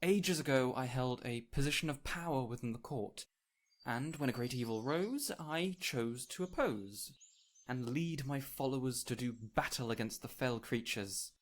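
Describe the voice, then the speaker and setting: A man playing an ancient, whimsical fey spirit in an audio roleplay, speaking in a gentle, courtly, theatrical way with lingering pauses. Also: mid-range voice, natural voice. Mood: grand, delighted. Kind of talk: audio roleplay addressed to the listener.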